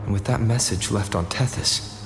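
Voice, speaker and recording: A man's voice: on the low side, normal speed, close to an everyday voice. A man voicing the pensive inner thoughts of a wandering video game hero, echoing with long reverb over music. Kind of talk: video game character dialogue.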